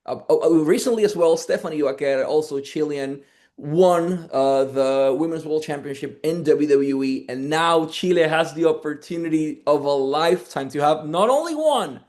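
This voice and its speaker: A man's voice: high voice, a little mellow. A man hosting a wrestling interview, eager and talkative as he asks his questions. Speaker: interview host. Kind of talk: energetic sports interview.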